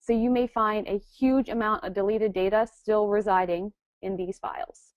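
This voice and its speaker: A woman's voice: mid-range voice, fairly even intonation, normal speed, no echo, highs cut off. Woman with a cold giving a technical webinar. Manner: explanatory, many "um" fillers. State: has a cold. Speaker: webcast presenter, forensics instructor.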